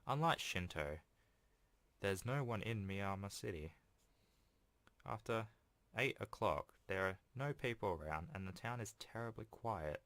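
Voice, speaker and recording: A man's voice: lowish voice, a bit bright, no echo. A man hosting a video game playthrough, reading a visual novel aloud with casual, self-deprecating asides. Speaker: let's play host.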